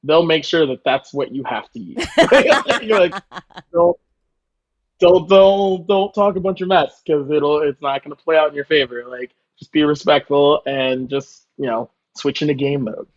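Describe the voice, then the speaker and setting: A man's voice: on the high side, measured pace. A man chatting casually as a chef guest in an interview, telling stories informally and quoting people as he goes. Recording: slightly dull, lo-fi audio.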